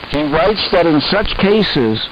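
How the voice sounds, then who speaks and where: A man reading scripted expository prose in a measured, formal way, as a narrator of articles. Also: fairly high pitch, very animated. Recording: dull, hissy shortwave radio reception.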